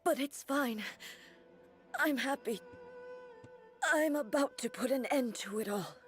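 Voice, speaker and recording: An actress playing a solemn, regal warrior ruler in a video game, speaking in a formal, grave, measured way. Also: female voice, high-pitched, faint music behind. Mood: grave, strained.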